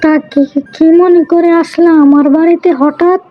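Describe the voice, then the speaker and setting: Squeaky-high little cartoon animal. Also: female voice, fairly even intonation, moderate pace, slight background noise. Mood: surprised, welcoming. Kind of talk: cartoon dialogue. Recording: dull sound with music behind.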